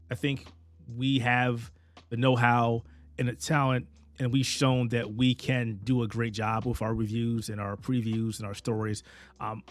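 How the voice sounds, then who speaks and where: A man running a small media outlet, talking casually to camera in an earnest, rambling way, often stumbling and restarting, with a voice that is wearing thin. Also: mid-range voice. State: losing his voice. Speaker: head of a small gaming and anime media outlet.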